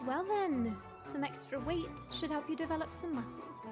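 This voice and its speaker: A woman playing a composed, kindly young dragon princess in an audio drama, with a gentle and polite manner. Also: high-pitched, expressive, moderate pace. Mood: teasing, calm. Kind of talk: audio roleplay drama acting. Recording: music behind the voice.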